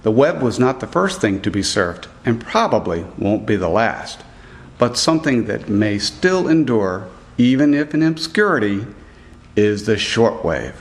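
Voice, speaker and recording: A man narrating a scripted report as a student reporter, reading aloud in a deliberate way. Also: fairly low pitch, big pitch swings.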